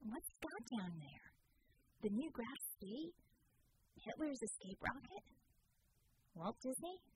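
A woman's voice: fairly high pitch, very animated, takes it slowly, background noise. A woman voicing an irreverent female team member with sarcastic, wisecracking delivery in an audiobook. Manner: muttered wisecracks.

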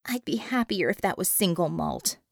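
A woman's voice: lowish voice, expressive, normal speed, vocal fry, no echo, clean studio sound. A woman voicing a brash, impatient female team leader in an audiobook, speaking emphatically with wry sarcasm. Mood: weary, wry. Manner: emphatic, exclaiming, sardonic.

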